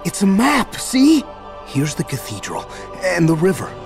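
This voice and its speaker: Shy young bell ringer, game character, slow halting delivery, lightish voice, sincere, music behind. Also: male voice, high voice, huge pitch swings, heavily acted. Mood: wonder. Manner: soft-hearted, halting, earnest. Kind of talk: video game voice acting.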